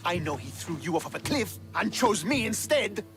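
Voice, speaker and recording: A man's voice: sits high, very animated, moderate pace, music in the background. A man playing a bitter, hot-tempered young Spartan army commander in a video game, with an intense, accusatory, scornful delivery. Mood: scornful anger. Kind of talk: dramatic video game acting.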